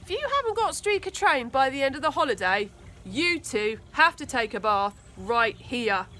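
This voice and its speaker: A woman's voice: high voice, very animated, normal speed, pushed and bright, pitched above the performer's own voice, heavily acted. A woman voicing a big thirteen-year-old boy bully from a children's storybook, leering and taunting. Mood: gloating.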